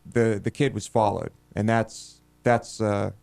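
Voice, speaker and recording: A man co-hosting a talk show in a casual, measured way. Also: fairly low pitch, flat delivery, creaky, darkish tone, smooth. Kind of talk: casual studio discussion.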